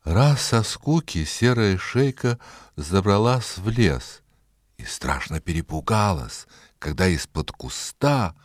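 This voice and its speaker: A man narrating a scripted fairy tale in an expressive, dramatic storytelling manner. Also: deep voice, takes it slowly, no echo, studio quality.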